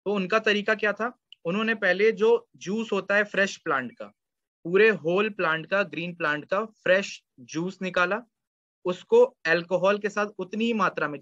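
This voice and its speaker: Man, 30s, online lecturer; high voice, reads quotes slowly; dry lo-fi webcam sound. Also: fairly bright tone, dry recording. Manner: explains in Hindi, reads English passages slowly. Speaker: homeopathy lecturer. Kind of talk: online lecture explaining slides. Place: indoor room, webcam lecture. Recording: dull, lo-fi sound.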